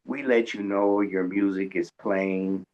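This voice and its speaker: A man's voice: low-pitched, fairly even intonation, a little slow, darkish tone, lo-fi sound. A man hosting a radio show, chatting casually in a folksy, colloquial storytelling way. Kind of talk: casual interview chat.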